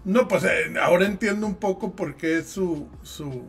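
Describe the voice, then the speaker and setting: A Mexican man in his forties hosting a casual podcast chat over a video call, curious and quick to swear. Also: high voice, vocal fry, faint music behind. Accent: Mexican Spanish. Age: 40s. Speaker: podcast host.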